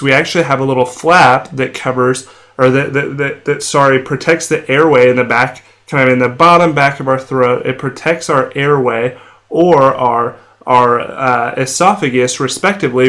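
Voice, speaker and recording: A young man with a heavily congested, nasal voice gives a drawn whiteboard lesson, sounding feverish and sore-throated. He explains in a plain, steady way and slows down to spell out each medical term. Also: mid-range voice. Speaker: medical educator. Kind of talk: whiteboard lecture while drawing.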